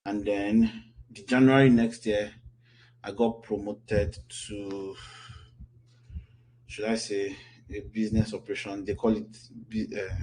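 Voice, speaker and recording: A man's voice: lowish voice, a little mellow, slight background noise, low-quality recording. A Nigerian man in his thirties, an instructor answering questions in a loose, chatty online class. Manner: loose, chatty, with lots of fillers and restarts.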